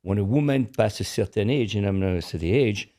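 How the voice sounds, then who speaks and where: A man's voice: on the low side, fairly quick, mellow. An older man from France with a French accent, speaking frankly as a podcast co-host and repeating words as he searches for English. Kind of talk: casual chat.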